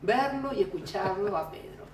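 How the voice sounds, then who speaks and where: A woman's voice: medium pitch, lively intonation, normal speed, a little mellow, a little hiss or hum. Argentine woman interviewing from across an echoey room. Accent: Argentine Spanish. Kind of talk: conversational interview. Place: room in Rosario. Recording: echoey room, mic at a distance.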